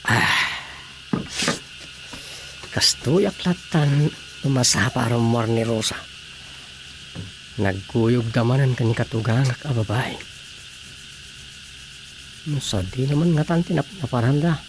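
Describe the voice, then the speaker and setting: A man's voice: medium pitch, lively intonation, slow, pauses often, a little hiss or hum. A man acting as an easygoing village councilman in a radio drama comedy, muttering with hesitant interjections. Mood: musing. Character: male village councilman, casual, muttering, easygoing local official.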